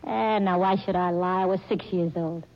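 A woman's voice: on the low side, fairly even intonation, moderate pace, close to an everyday voice. An actress playing a brash, chatty young working-class woman in a 1940s radio drama, slangy and wisecracking. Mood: breezy. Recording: dull old radio recording.

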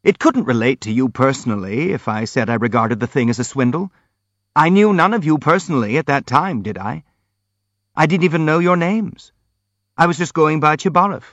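A man narrating plays a sincere, apologetic prince from a novel. He is frank and courteous, hurrying to smooth things over in a raised pitch, with a slightly muffled sound. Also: lively intonation, fairly bright tone, dry recording. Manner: earnest, hurried, polite pleading. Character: earnest, apologetic, frank young prince, anxious to placate. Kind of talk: audiobook character acting.

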